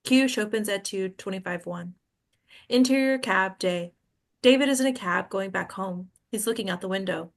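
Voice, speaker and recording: A woman's voice: medium pitch, normal speed. A woman hosting a table read, reading out screenplay stage directions as scripted narration.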